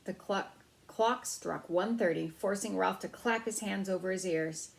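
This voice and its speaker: Creaky-voiced woman reading a children's story. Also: medium pitch, measured pace. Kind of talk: reading a children's novel aloud.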